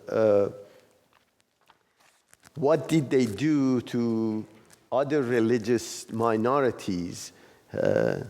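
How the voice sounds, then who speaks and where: A man facilitating a class discussion, explaining informally and haltingly as he searches for words. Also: medium pitch, darkish tone. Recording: reverberant hall.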